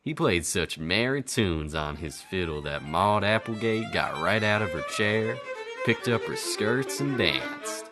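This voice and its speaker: A man narrates a radio drama in a low, deep voice. His delivery is folksy and colloquial, like a tall tale being told. Loud music plays behind him. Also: expressive, a little slow.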